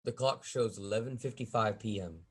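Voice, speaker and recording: A man's voice: fairly low pitch, moderate pace, breathy edge. A man reading stage directions as the narrator of a script table read.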